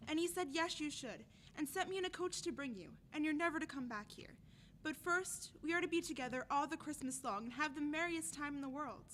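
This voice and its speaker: High-voiced eager little sister. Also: female voice, fairly quick, fairly bright tone, a little hiss or hum. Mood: joyful, tender. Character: sweet, eager, affectionate young girl.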